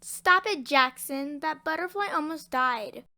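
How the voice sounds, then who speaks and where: A woman's voice: sits high, animated, measured pace, bright, forceful tone, no room sound, clean studio sound, full character voice. A woman playing a cheeky five-year-old girl in an audio drama, with a childlike way of talking. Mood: cheeky, playful. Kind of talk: character acting in amateur audio fiction.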